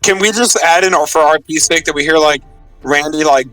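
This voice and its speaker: Guy player in casual rpg chat, hesitant, bit high, slightly far from mic. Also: normal speed, sharp tone, no room sound, faint music behind. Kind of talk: casual tabletop roleplay chat.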